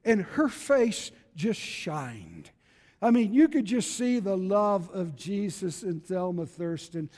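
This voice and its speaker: A man of about seventy, preaching a fervent evangelical sermon in an exhorting, emphatic way, repeating phrases for weight. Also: sits high. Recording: reverberant hall with long echo.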